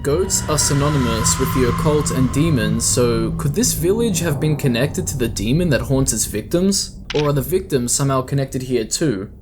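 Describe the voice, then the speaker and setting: A man narrates a scripted video essay in an explanatory way. His voice sits at an ordinary male pitch. There is clearly audible ambient sound behind him as he speaks. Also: animated, moderate pace, few pauses, noisy background.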